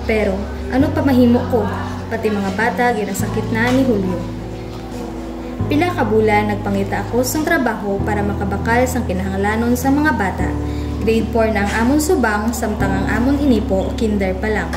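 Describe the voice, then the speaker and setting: A woman's voice: mid-range voice, fairly quick, natural voice. A woman with a Western Visayan Filipino accent narrating a radio drama as a wounded but resilient mother. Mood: sorrowful. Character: wounded, resilient mother telling her own story. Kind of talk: scripted first-person radio drama narration. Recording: echoey room, loud music bed.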